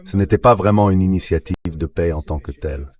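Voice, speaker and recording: A man giving measured, analytical geopolitical commentary as an interview guest, read by a synthetic translation voice. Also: deep voice, a little mellow.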